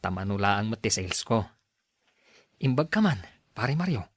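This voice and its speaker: An actor with an Ilocano Filipino accent playing a friendly, teasing workmate in a radio drama. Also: male voice, fairly low pitch, big pitch swings, normal speed, no echo, studio quality. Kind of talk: acted radio drama dialogue.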